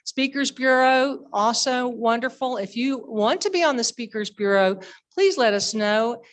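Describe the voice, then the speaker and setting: Upbeat chatty woman doing an informal report at a meeting, voice a bit high. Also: a little slow. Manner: chatty, enthusiastic, colloquial. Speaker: society director presenting annual report. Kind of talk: informal spoken presentation to members. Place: live members' meeting.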